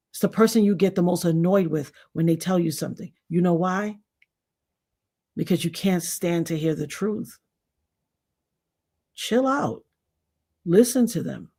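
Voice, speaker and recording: A woman's voice: medium pitch, a little mellow. A woman in her fifties, hosting her own channel and lecturing her viewers in a casual, preachy way, calling them y'all. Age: 50s. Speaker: YouTube channel host. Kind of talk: casual talk to camera.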